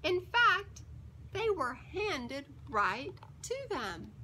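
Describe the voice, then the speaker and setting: A woman storyteller with a high, bright voice reads a picture book to young children. She takes her time, leaning on key words and pausing for dramatic effect. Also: very animated, a little hiss or hum. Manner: theatrical, emphatic, with dramatic pauses. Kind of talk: expressive read-aloud for young children.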